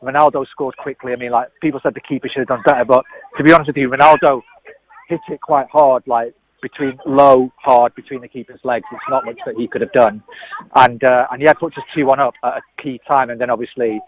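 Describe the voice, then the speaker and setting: A man's voice: medium pitch, fairly even intonation, fairly quick. Male pundit, enthusiastic and rambling, dull remote line with faint hiss. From England. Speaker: football pundit. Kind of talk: casual sports analysis.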